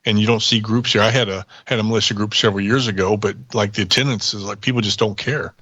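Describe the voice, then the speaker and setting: A man's voice: on the low side, fairly even intonation, fast, pushed and bright, no room sound, dull audio. A man talking casually as a guest, recounting his own experience.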